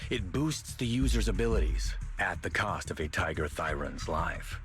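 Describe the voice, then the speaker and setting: An actor voicing a cynical, cold mastermind in a video game, speaking in a dry, measured, condescending way. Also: male voice, deep voice, lively intonation, fairly bright tone. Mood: cold, explanatory. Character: cynical, cold male tiger-clan schemer, condescending mastermind. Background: music.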